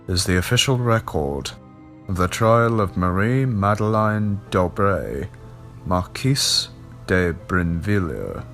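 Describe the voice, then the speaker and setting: A man voicing a grave, learned gentleman in an audiobook, explaining in a measured, deliberate way. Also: deep voice, lively intonation, soft background music, exaggerated voice. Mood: grave, certain. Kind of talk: audiobook character dialogue.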